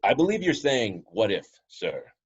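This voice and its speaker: A man playing a loyal, courteous butler with a polite, wry, measured manner in a superhero script read. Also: on the low side, sing-song, husky. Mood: dry, polite. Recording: dull lo-fi home recording.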